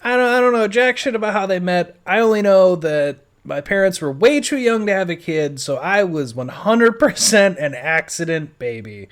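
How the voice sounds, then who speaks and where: Higher-pitched American man, casual and rambling. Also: expressive, normal speed, hardly any pauses. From western New York State, USA. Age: 30s. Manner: rambling, informal, blunt and sweary. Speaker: podcaster telling his life story. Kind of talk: casual unscripted audio blog.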